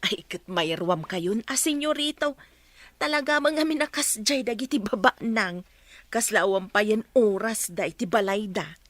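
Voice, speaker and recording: A talkative housemaid acted by a woman in an Ilocano comedy radio play. Her voice is bright and very animated, close and dry, with cheeky, outspoken exclamations under the polite address. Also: medium pitch, moderate pace, no room sound, natural voice. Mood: chatty, deferential. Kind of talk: comedy radio drama acting.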